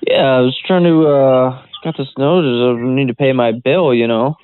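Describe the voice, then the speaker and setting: A man's voice: mid-range voice, steady tone, normal speed, clean tone. A man making prank calls in a casual, rambling, feigned earnest manner. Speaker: prank caller. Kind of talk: casual phone prank chat. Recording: band-limited phone line.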